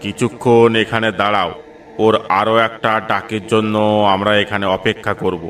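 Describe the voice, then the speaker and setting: Guy doing a bossy village cop for a cartoon, lowish and monotone, giving orders. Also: moderate pace, distant mic, soft background music, lightly acted. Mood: watchful, commanding. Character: commanding village police inspector leading an animal hunt. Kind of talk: cartoon voice acting.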